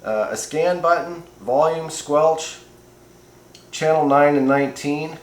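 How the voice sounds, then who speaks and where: A man's voice: a little high, slow. A man giving a casual, rambling, off-the-cuff product review in a laid-back, colloquial way. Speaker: host of CB radio review videos. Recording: echoey room sound.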